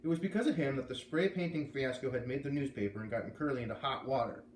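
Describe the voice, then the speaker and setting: A man reading a storybook aloud as expressive narration. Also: medium pitch, fast talker. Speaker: storybook reader. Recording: echoey room, faint background noise.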